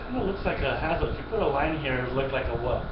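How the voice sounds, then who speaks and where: A man's voice: medium pitch, animated, racing, very noisy. Patient man teaching piano over webcam. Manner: patient, encouraging, repeats things and praises often. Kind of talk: one-on-one children's music lesson. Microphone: webcam from a distance. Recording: echoey room, distant dull webcam mic, music.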